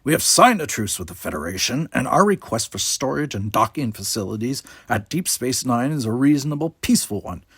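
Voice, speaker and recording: A man's voice: medium pitch, big pitch swings, brisk, few pauses, a bit bright, breathy edge, no room sound, clean studio sound, exaggerated voice. A man voicing a proud, hostile male alien military leader in an audiobook, speaking in a heated, formal, haughty way. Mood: indignant.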